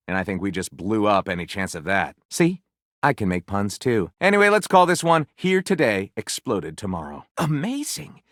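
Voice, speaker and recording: Swooping, theatrical wisecracking author. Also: male voice, fairly low pitch, fairly bright tone, no room sound, studio quality. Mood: wry, teasing. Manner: dramatic, wry, punchy delivery with flippant asides. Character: excitable, sardonic pulp-fiction author, flamboyant and self-pleased. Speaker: video game voice actor. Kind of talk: theatrical comedic game dialogue, mock-literary narrating.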